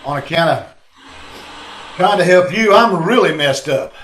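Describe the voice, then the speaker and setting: A man's voice: a little high, lively intonation, unhurried, a bit bright. A man playing a cocky, tough, wisecracking amateur sleuth in the style of a 1940s radio drama. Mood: calm, confident. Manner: wisecracking, self-assured. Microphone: video call. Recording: echoey online-call recording with background noise.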